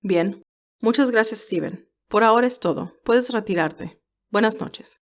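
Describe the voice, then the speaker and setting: A composed senior gentleman's aide, read by a woman in a storybook drama. The delivery is businesslike and courteous, laying out instructions methodically. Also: medium pitch, a little slow, natural voice.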